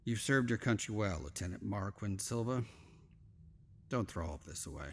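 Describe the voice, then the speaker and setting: A man's voice: lowish voice, expressive, moderate pace. A man playing a stern military admiral, speaking with clipped authority in a dramatic scripted scene. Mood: grave, probing. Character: stern, commanding male admiral running covert operations.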